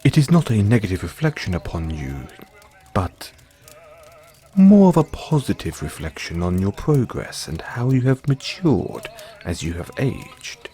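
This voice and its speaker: A man with a British accent playing a formal, devoted butler who gently chides his young master in an audio roleplay. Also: on the low side, sing-song, soft background music. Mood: approving, formal. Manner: formal, measured, deferential. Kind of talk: scripted roleplay, intimate second-person address.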